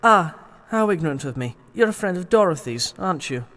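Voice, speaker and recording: A man's voice: sits high, expressive, a little fast, fairly bright tone. An actor playing a vain, twisted male hacker in an audio drama, pompous and arch in manner.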